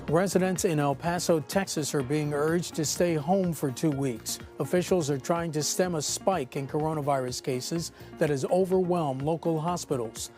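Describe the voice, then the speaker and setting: A man reading a scripted news bulletin in a measured, even way. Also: a little high. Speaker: newsreader.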